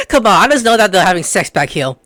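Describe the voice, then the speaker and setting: A woman's voice: mid-range voice, huge pitch swings, moderate pace, a bit bright, dry recording, studio quality. A woman hosting a gameplay video, rambling playfully and casually as she talks back to the characters. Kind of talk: casual reactive game commentary.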